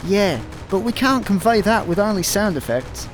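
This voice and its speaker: A fretful Scottish slacker played by a man in a sci-fi radio comedy, with clear music behind him. His voice sits high, and he comes across as hapless and literal-minded. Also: animated, normal speed. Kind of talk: comic sci-fi radio play acting.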